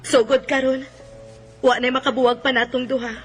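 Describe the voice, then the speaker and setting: A woman's voice: high voice, level tone, moderate pace, faint music behind, lightly acted. A woman with a Cebuano accent playing a tender, grateful young wife and mother in an emotive radio drama. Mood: tender, devoted. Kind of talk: Philippine radio drama acting.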